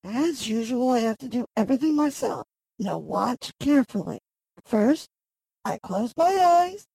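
A woman's voice: fairly high pitch, expressive, unhurried, vocal fry, dark tone, slightly rough, no room sound, heavily acted. A woman playing a fussy, self-important magical queen with imperious, precise delivery in a fantasy audio drama.

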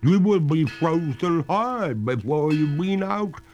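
A man's voice: on the high side, expressive, takes it slowly, a little mellow, soft background music, voice pushed up. A man voicing a female water ghost who speaks in a genteel, plaintive, pleading way.

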